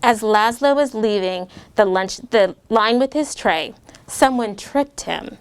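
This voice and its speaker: A middle-aged woman reading a rhyming children's book aloud to a class of schoolchildren, pausing to ask the kids questions. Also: on the high side, clean tone. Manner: expressive rhyming read-aloud with asides to kids. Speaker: guest reader and parent.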